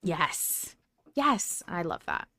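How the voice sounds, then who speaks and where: A woman's voice: lowish voice, wildly swooping pitch, extremely slow, vocal fry, bright, forceful tone. A woman hosting a podcast, talking casually in a reflective, candid way. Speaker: podcast host.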